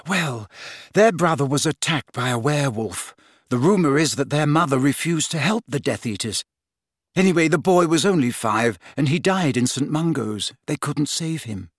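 A man voicing a brisk, earnest and impatient teenage schoolgirl who likes to be in charge. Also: fairly high pitch, animated, no room sound, clean studio sound, voice pushed up. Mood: grave, informative.

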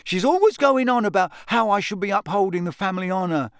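A man's voice: high voice, animated, fast talker, a bit bright, smooth, dry recording, studio quality, voice pushed up. A man playing a gloomy, hesitant teenage schoolboy with a doubtful, put-upon manner in a storybook reading.

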